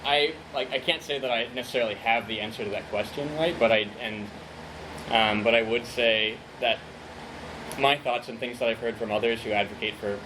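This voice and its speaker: A man's voice: medium pitch, brisk, noisy background. A young man speaking at a conference, answering an audience question hesitantly, with many fillers and restarts.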